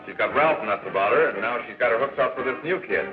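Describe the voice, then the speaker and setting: An actor playing a slangy, streetwise small-time drug peddler in 1930s film style, with a brisk manner. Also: male voice, medium pitch, expressive, lightly acted. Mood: gossipy. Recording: tinny 1930s film soundtrack with music.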